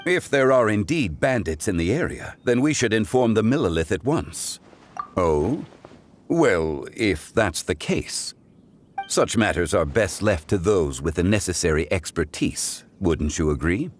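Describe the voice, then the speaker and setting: An actor playing a composed, erudite, courteous gentleman in a video game, speaking in formal, measured, eloquent phrases. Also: low voice, lively intonation, a little hiss or hum. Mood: calm, polite. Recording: music behind the voice.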